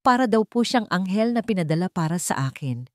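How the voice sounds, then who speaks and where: A woman's voice: medium pitch, lively intonation, fairly quick, no room sound, studio quality, natural voice. A woman voicing an innocent, trusting little boy in a Filipino-accented audio story. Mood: happy, innocent. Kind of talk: dramatic audio story acting.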